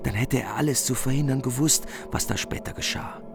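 A man's voice: medium pitch, brisk, vocal fry, music in the background. A man narrating a medieval epic as solemn, scripted storytelling.

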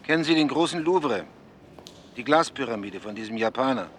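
A man's voice: medium pitch, lively intonation, fairly quick, a bit bright, slight background noise, natural voice. An actor playing a cautious male investigative journalist who answers in guarded, clipped lines. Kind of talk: German TV drama acting.

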